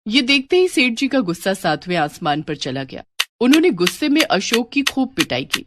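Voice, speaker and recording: A woman's voice: a little high, sing-song, normal speed, noisy background. A woman narrating a scripted story as its storyteller.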